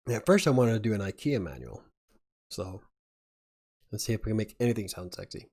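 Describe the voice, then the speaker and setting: A man with a fairly low voice hosts a loose live chat. He rambles, laughs between thoughts and speaks. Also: very animated, a little fast, darkish tone. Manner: rambling, chuckling often. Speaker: livestream host.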